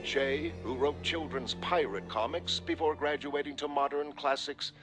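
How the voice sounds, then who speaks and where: A male actor playing an earnest television newsreader, reading a bulletin in a formal, measured, authoritative way. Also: fairly high pitch, fairly even intonation, natural voice. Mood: formal, serious. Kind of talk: scripted news bulletin delivery. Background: loud music.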